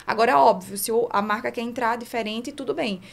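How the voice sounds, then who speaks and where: A woman's voice: a little high, a little fast, creaky. A Brazilian woman in her thirties giving a casual, emphatic explanation as a podcast guest, close to a studio microphone. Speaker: podcast guest, networking specialist. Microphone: close studio microphone on boom arm.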